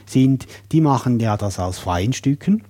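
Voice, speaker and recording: A man hosting an interview podcast, asking gentle questions in a friendly, joking way. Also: medium pitch, lively intonation, unhurried. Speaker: podcast host.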